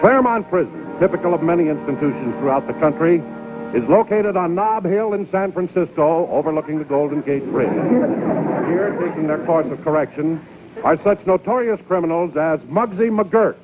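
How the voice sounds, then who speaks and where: A man's voice: on the high side, moderate pace, natural voice. A man playing an earnest, formal announcer who interviews inmates in a 1950s TV comedy sketch, speaking emphatically. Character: male announcer touring a prison, formal and earnest. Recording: narrow-band 1950s broadcast with music.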